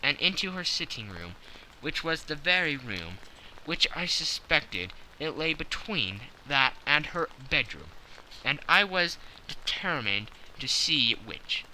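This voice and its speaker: A man voicing a confident, analytical gentleman detective who explains things precisely and with self-satisfaction in an audiobook. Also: fairly high pitch, big pitch swings, slow pace, a little hiss or hum, heavily acted, rough recording. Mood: self-satisfied, explaining. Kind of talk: audiobook character acting.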